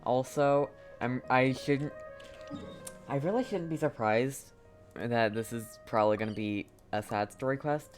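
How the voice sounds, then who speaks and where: A woman streamer chats casually over a game. Her voice is strikingly deep and she talks at a slow, relaxed pace in short reactions, with faint music in the background. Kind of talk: casual live game commentary.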